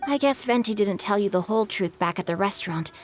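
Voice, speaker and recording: A woman's voice: medium pitch, expressive, fast, faint music behind, natural voice. Polite bookish teenage boy voiced by a woman. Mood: sheepish, earnest. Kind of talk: video game character dialogue.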